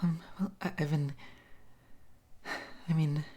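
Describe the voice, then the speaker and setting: Casting: woman playing a bashful, smitten first date in roleplay audio; low register, unhurried, halting, tipsy giggles, nervous stammer. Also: fairly even intonation, dark tone. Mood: nervous, hesitant. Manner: nervous, stammering, fumbling over words, giggling often. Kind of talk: intimate roleplay audio monologue to the listener.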